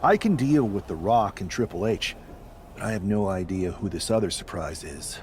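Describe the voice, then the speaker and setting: An actor playing a smug, commanding and scheming leader of a wrestling faction in a video game scene. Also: male voice, lowish voice, animated, moderate pace, a little mellow, slight background noise, close to an everyday voice. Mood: guarded. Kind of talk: video game cutscene dialogue.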